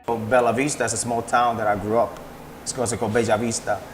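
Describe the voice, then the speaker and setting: A man's voice: medium pitch, little pitch movement, quick pace, faint music behind. A man from the Dominican Republic, a restaurant owner talking loosely with many fillers in an interview. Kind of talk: casual interview.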